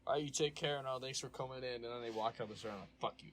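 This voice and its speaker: A young man co-hosting a podcast, talking in a casual, energetic ramble full of fillers. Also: mid-range voice, rapid-fire, noisy background. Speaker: podcast co-host. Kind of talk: casual chat.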